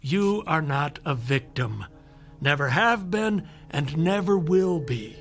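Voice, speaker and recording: Persuasive, skeptical doctor, higher-pitched male voice. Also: animated, music in the background. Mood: firm persuasion. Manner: measured, patronizing, rhetorical persuasion. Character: male doctor, persuasive, skeptical, fatherly toward his patient. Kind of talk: radio drama acting.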